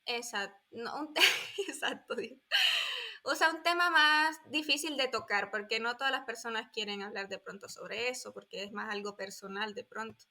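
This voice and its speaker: A woman in her twenties from Colombia talks casually as a podcast guest. Her voice sits a little high and sounds bright and forward. She often pauses and restarts while she hunts for the right words. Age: 20s. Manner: hesitant, searching for words. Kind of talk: casual interview talk.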